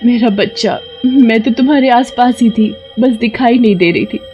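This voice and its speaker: Ghost witch mother, played by a woman: fierce, protective, doting. Animated horror story with music bed. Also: a little high, moderate pace, lo-fi sound, voice pushed up, natural voice. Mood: tender. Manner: menacing to foes, doting to her child.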